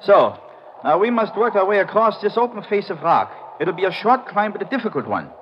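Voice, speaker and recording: High-voiced, menacing villainous mountain guide. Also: male voice, big pitch swings. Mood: stern, commanding. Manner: stiff, formal, deliberate phrasing. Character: treacherous, coolly menacing male mountain guide. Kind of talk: 1950s radio drama villain acting. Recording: noisy, echoey, muffled vintage radio recording.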